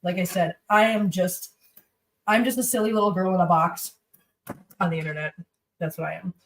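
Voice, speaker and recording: A woman's voice: lowish voice, steady tone, normal speed, distant mic, a little hiss or hum. A woman hosting a livestream from her home kitchen, chatting casually while cooking. She sounds congested and rambles, talking to her pets and viewers.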